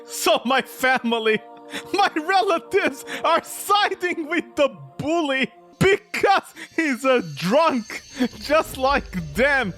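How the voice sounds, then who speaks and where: A man's voice: very high-pitched, expressive, slow pace, pushed and bright, faint music behind, voice pushed up, exaggerated voice. A man voicing a bitter, self-righteous forty-year-old intellectual in a visual novel, pompous and melodramatic as he complains. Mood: aggrieved.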